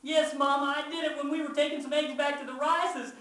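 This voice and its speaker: A man's voice: very high voice, steady tone, a little fast, fairly bright tone, voice pushed up. A man voicing an upset young farm boy in a live-style story, with folksy, indignant outbursts. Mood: tearful pleading. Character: aggrieved young farm boy, yelling and tearful. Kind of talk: dramatic first-person storytelling. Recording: very echoey room, far from mic.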